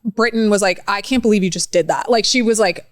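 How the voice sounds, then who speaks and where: Young woman cohosting a podcast, animated, laughs a lot, dry close sound. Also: medium pitch, fast, no room sound. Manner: animated, laughs often, quotes herself in raised voice. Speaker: podcast co-host. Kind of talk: casual chat.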